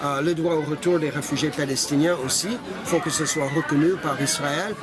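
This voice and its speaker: An older man from Canada answers questions on a busy street, his slightly high voice full of pauses and restarts. A crowd murmurs loudly behind him. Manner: hesitant, many fillers and restarts. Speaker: interviewee, association founder. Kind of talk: street interview. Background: crowd noise.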